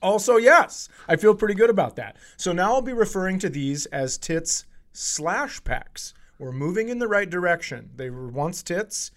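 A man hosting a comedy podcast, telling casual, profane stories in an animated way and acting out the quotes. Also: sits high, normal speed, hardly any pauses. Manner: animated, profane, acts out quotes in his anecdotes.

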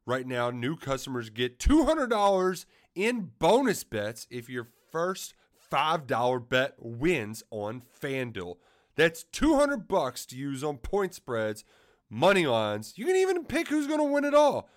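A man's voice: a little high, wildly swooping pitch, measured pace. A man reading a scripted promotional advertisement as an announcer.

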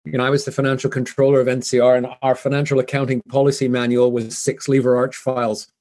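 An older man from Canada with a softened Canadian accent, speaking as a guest in a business interview in a measured way with frequent ums. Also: mid-range voice, little pitch movement.

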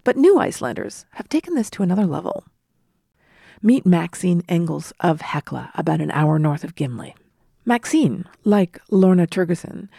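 A woman hosts a travel podcast, her voice low and mellow with a gravelly edge. She tells the story in a reflective, wry way. The sound is dry and close to the mic. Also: dry recording. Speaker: podcast host. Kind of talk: scripted travel narration.

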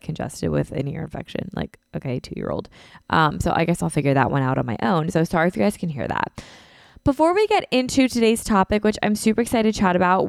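A woman's voice: lowish voice, creaky, dry recording, clean studio sound. Chatty congested woman podcaster. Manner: chatty, lots of "like" and "um". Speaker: podcast host. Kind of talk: casual solo podcast chat. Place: beach house living room, indoors. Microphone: podcast mic.

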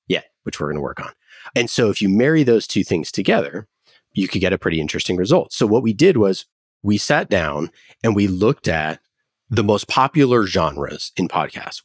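Deep, husky-voiced man in casual interview. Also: no room sound, clean studio sound. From United States, California. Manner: informal, anecdotal, peppered with "like". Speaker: podcast guest, company founder. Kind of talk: casual business interview talk.

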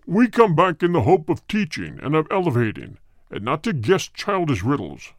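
A man voicing a grave spirit of the dead in a storybook, speaking in a deep, hard, cold voice with formal, measured phrasing. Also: wildly swooping pitch, no echo, clean studio sound. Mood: stern. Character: solemn spirit of the dead speaking through a medium.

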